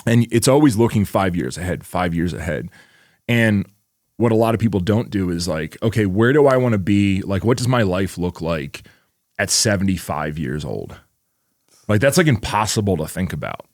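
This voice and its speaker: Podcast co-host, male, around forty, very low voice, dry close sound, loose rambling delivery with frequent cursing. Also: animated, normal speed, darkish tone, dry recording. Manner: rambling, swears freely, many "like" fillers. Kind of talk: casual chat.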